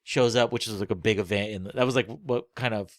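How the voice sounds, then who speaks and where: A man co-hosting a podcast, chatting casually about books in a rambling, halting way that often trails off mid-phrase. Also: on the low side, no room sound, clean studio sound. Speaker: podcast co-host. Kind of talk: casual book-club chat.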